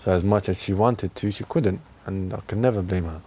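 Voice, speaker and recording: A man's voice: low-pitched, fairly quick, mellow, slight background noise. A man being interviewed outdoors, talking casually and candidly, repeating phrases for emphasis and checking in as he goes. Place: outdoors on a park bench.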